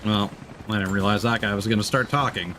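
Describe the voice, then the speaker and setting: A man's voice: lowish voice, steady tone, a little fast. A man streaming a game, giving casual, offhand commentary as he thinks aloud. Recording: game music behind the voice.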